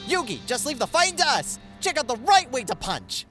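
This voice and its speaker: A man playing a hot-headed, brash and excitable teenage boy brawler in an anime-style audio drama. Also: extremely high pitch, a little fast, soft background music, exaggerated voice. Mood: fired up, defiant.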